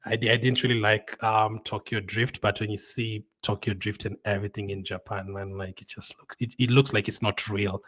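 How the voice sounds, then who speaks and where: Bright, deep-voiced man chatting on stream. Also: little pitch movement, normal speed, hardly any pauses. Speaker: livestream host. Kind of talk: casual chat, reading and answering viewer comments.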